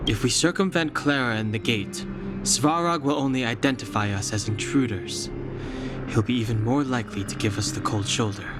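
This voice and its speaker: Game role: actor as cerebral, composed young man, mid-pitched, dry delivery, loud music behind. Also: moderate pace, natural voice. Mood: analytical. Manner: deliberating, precise, dry. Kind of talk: video game voice acting. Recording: loud background music under voice.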